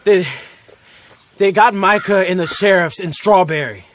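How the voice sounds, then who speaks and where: A man's voice: high-pitched, a little slow, fairly bright tone, no echo. An actor playing a frantic young outlaw in a western video game, out of breath as he speaks. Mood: panicked. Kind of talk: video game cutscene acting.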